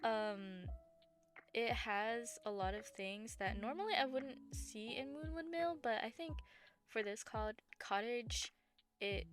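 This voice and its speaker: A woman with a scratchy, gravelly voice, hoarse after bronchitis, chats through a gameplay voice-over at a middle pitch. She rambles loosely with lots of fillers and restarts. Clear music plays behind her. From Southern United States. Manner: rambling, filler-heavy, self-correcting. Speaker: game builder and channel host. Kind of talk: casual voice-over commentary over gameplay. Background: background music.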